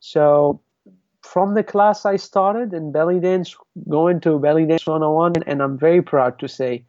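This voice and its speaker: A man's voice: a little high, measured pace, darkish tone, dry recording, lo-fi sound. A man from Lebanon, a dance artist, talking reflectively in a podcast interview, with many restarts. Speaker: guest, belly dance artist.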